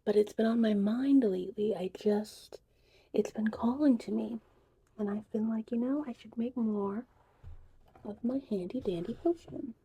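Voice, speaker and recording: Female ASMR host, very mellow and airy, hushed casual chat slipping into whispers, slightly high pitch. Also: a little slow, poor audio. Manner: hushed, breathy, drifting in and out of whispers. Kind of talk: soft-spoken, whispered ASMR tutorial roleplay.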